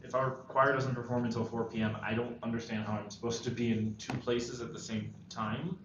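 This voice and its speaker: Earnest, stressed male high school senior, read by a man, hesitant delivery; roomy, muffled table-read sound. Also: level tone, fairly quick, natural voice. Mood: stressed, polite. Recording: echoey, dull, faintly noisy room recording.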